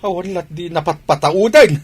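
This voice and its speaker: A man playing a blunt, exasperated village father with a raspy voice and an Ilocano accent in a comedy radio drama. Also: high voice, normal speed, close to an everyday voice.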